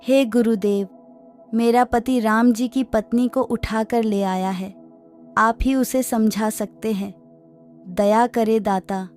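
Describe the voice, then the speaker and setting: A woman's voice: a little high, steady tone, slow, darkish tone, soft background music. A woman playing a gracious, curious queen in a devotional cartoon story. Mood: pleading. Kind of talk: devotional animated story acting.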